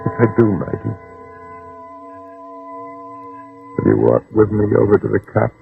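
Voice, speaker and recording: An actor playing a desperate, wavering gunman still sweet on his old girlfriend, in a 1960s radio drama, with a gravelly, raspy voice. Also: fairly low pitch, big pitch swings, narrow, tinny audio, full character voice. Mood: subdued, yielding. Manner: tense, wavering, halting. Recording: old band-limited radio recording, music underneath.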